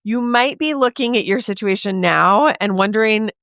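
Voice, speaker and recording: A woman in her forties hosting a podcast, talking straight to the listener in a chatty, encouraging way, her voice a little raspy from a sore throat. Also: mid-range voice, normal speed, fairly bright tone, dry recording, studio quality. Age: 40s. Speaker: podcast host. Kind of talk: casual podcast monologue.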